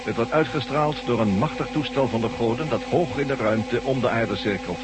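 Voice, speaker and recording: A man's voice: medium pitch, normal speed, natural voice. An actor playing a commanding, zealous male servant of self-styled gods in a Dutch radio play, speaking in a solemn, preaching way. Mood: solemn, reverent. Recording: noisy old radio recording.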